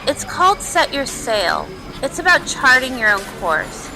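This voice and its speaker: A woman's voice: sits high, sing-song, a little slow, over music, full character voice, poor audio. A woman voicing a self-absorbed, dramatic young princess pony with a sassy, whiny manner. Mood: self-satisfied. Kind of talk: cartoon voice acting in a comic read-along.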